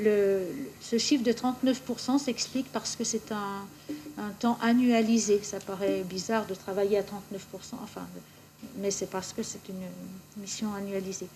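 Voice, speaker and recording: Female official, soft-edged higher voice, formal meeting explanation, faint noise behind. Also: low-quality recording. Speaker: municipal official presenting a council resolution. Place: municipal council meeting.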